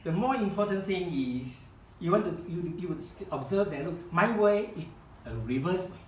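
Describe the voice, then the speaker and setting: A man whose first language is Chinese, leading a live kokedama-making demonstration in a room and often pausing to search for words. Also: high voice, animated, roomy sound, slight background noise, poor audio. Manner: halting, searching for words, many restarts. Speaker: kokedama workshop founder demonstrating. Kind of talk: live hands-on craft demonstration. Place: room at a home demonstration event.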